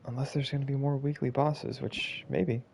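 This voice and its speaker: A man's voice: mid-range voice, steady tone, moderate pace, vocal fry, a little mellow, slight background noise. A man casually commentating as he streams a game, muttering and thinking aloud to himself. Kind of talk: casual live gameplay commentary.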